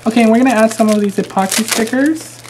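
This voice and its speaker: A man's voice: high voice, quick pace, background noise. A man with a Mexican American accent demonstrating a craft project live, chatty and easygoing with frequent ums. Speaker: craft instructor.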